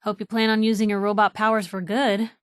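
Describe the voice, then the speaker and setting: A woman's voice: mid-range voice, level tone, a little fast, clean tone, dry recording, natural voice. Woman acting a plucky young female reporter, video game companion, wry, teasing, conversational, very dry close sound. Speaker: companion character voice actor.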